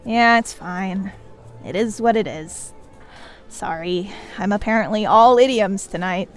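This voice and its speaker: A woman's voice: mid-range voice, measured pace, soft background music, lightly acted. An actress playing a reserved, supportive divorced woman on a first date in a naturalistic audio drama. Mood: guarded, wry.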